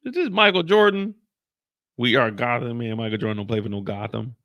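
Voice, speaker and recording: A man's voice: medium pitch, expressive, brisk, muffled recording. A man giving casual, slangy reaction commentary on a TV episode. He talks to the screen with a mild stutter. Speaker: reaction video host.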